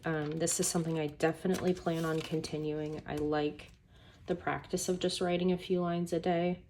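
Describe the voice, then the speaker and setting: A woman with a hoarse, gravelly voice chatting casually at her desk about her planners, rambling through self-deprecating asides. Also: deep voice, little pitch movement, moderate pace, darkish tone, a little hiss or hum. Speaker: planner YouTuber. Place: home desk by a sunny window.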